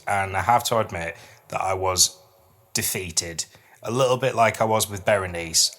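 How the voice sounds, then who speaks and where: A man's voice: fairly low pitch, a bit bright. A middle-aged man co-hosting a podcast, chatting casually and reading poetry in a self-deprecating, explanatory way. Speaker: podcast co-host.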